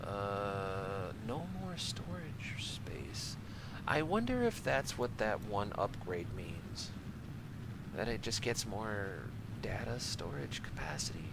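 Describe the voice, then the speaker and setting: American guy streaming a game, kinda low voice, really unhurried, jokes around with chat. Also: big pitch swings, heavy background noise. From Michigan, United States. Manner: jokey, self-deprecating, slangy. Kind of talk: casual gameplay commentary, chatting with viewers.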